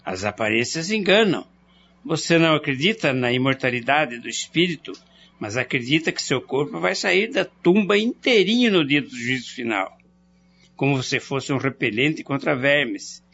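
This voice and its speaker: A man's voice: mid-range voice, expressive, normal speed, a bit bright, muffled recording, a little hiss or hum. An actor playing a wealthy husband in a radio drama, lecturing his wife with sarcasm. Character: wealthy husband Noronha, middle-aged, argumentative and lecturing.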